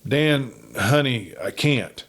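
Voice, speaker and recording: A man plays an earnest young ranch woman in a western storybook, pitched up from his usual low voice. The delivery is urgent and pleading. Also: expressive, slow pace, a bit bright. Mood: desperate, pleading.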